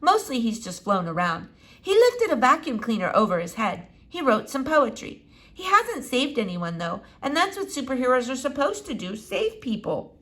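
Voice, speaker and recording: A woman's voice: high-pitched, sing-song, moderate pace, a bit bright, pitched above the performer's own voice. A woman voicing a blunt, questioning young girl who calls herself a cynic, in a children's storybook reading. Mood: matter-of-fact.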